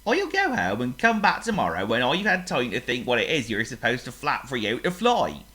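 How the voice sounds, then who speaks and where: A woman voicing a kindly, slow-thinking pig who speaks in a slow, ponderous, cheerful way. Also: extremely low pitch, wildly swooping pitch, a bit bright, voice pushed up, full character voice.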